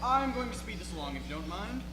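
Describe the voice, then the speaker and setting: A woman's voice: mid-range voice, big pitch swings, quick pace, close to an everyday voice. A woman playing a pompous, vain young male prince with grandiose, declamatory delivery in a comic stage reading. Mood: self-satisfied. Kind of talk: live readers' theatre comedy. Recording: echoey hall with music behind.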